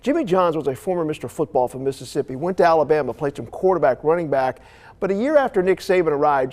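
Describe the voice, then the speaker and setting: A man's voice: on the high side, a little mellow. An American man working as a morning TV news anchor, reading stories and doing live interviews in broadcast style.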